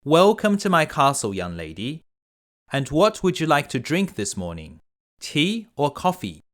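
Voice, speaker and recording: A man reads as a gentle castle beast from a fairy tale. He is courteous and earnest, with lively swings of pitch and a lovesick, measured way of speaking. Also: exaggerated voice. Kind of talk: storybook character voice.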